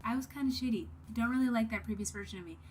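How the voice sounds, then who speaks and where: A young woman with a cold and slightly sniffly, talking candidly and rambling to camera in a reflective personal vlog, with many fillers and the odd soft whisper. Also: on the high side, very fast, slight background noise. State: has a cold, a bit sniffly. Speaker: vlogger.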